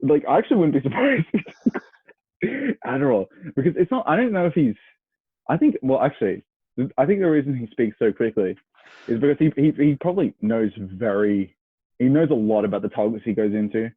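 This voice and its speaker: A young Australian man chats as a podcast co-host, blunt and sweary. His voice is low and husky. It comes through dry and narrow, like a phone line. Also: expressive, brisk, no echo. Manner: blunt, opinionated. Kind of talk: casual, sweary banter between mates. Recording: muffled phone-like radio sound.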